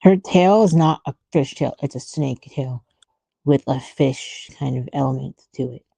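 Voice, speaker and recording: Dark, creaky-voiced woman musing aloud. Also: extremely low pitch, unhurried, no room sound. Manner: musing, trailing off mid-thought. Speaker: artist streamer thinking aloud while drawing. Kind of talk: casual livestream art commentary.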